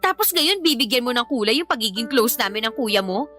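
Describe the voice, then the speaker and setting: A defiant young Filipina mother in a radio drama. Her voice is high and very animated, full of sarcasm and scolding. Faint music plays underneath. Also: brisk. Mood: exasperated scolding.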